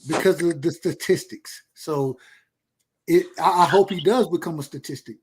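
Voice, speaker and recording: Southern guy in his 30s, kinda high voice, slangy cussing podcast chat, says stuff twice for emphasis. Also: normal speed, a little mellow, breathy edge. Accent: Southern US, Georgia. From rural Georgia, USA. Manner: emphatic, repeats phrases, slangy and profane. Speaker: podcast speaker. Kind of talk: casual chat.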